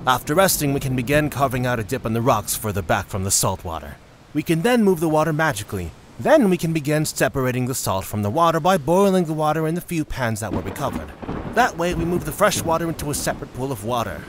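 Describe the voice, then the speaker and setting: An actor playing a practical shipwreck survivor who lays out plans in a methodical, matter-of-fact way in an audio drama. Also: male voice, mid-range voice, very animated, quick pace, a little hiss or hum, natural voice. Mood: businesslike.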